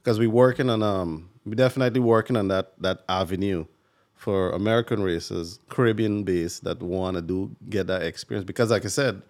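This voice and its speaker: A man's voice: sits low, fairly quick, a little mellow. A man from Guyana, chatting casually as an interview guest. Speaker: guest, club racing rider.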